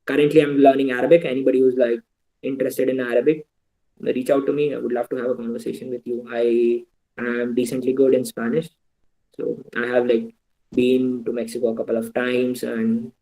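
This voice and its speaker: A young Indian man talks students through his advice over a video call. His voice is mellow and dark, his pitch in the middle. He sounds earnest and practical, now and then slipping into Hindi. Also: little pitch movement, fairly quick, poor audio. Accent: Indian English. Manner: earnest, advising, switches into Hindi asides. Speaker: guest speaker. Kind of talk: online talk giving advice to students.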